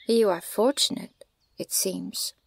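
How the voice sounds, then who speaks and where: A woman playing a stern, guarded, ancient elven archer in an ASMR fantasy roleplay, speaking in a measured, formal and breathy way with a creaky edge. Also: mid-range voice, steady tone, heavily acted. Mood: guarded, appraising. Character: stern, guarded female elven archer, centuries old, scornful of humans.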